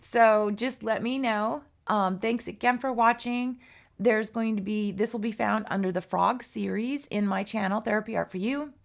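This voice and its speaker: A woman giving a casual art tutorial, chatty and rambling with many ums and restarts, her voice hoarse and worn. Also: medium pitch, normal speed. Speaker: art teacher.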